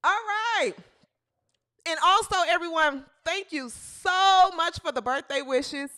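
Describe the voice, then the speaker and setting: Female host, 30s, bright and sharp tone, playful live-stream energy with shouted bursts. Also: extremely high pitch, slow pace. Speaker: podcast host. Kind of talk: live-stream sports talk chat.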